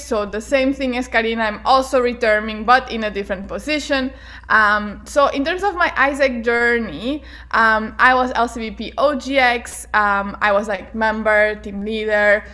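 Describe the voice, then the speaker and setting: A young woman presents over a video call. Her voice is bright and fairly high, and her manner is bubbly and upbeat, with plenty of fillers. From Portugal. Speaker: keynote speaker.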